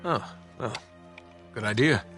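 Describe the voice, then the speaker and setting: An actor with an American accent playing an easygoing, wisecracking adventurer hero in a video game, casual and wry. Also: male voice, mid-range voice, unhurried, music in the background, lightly acted. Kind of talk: cinematic game dialogue.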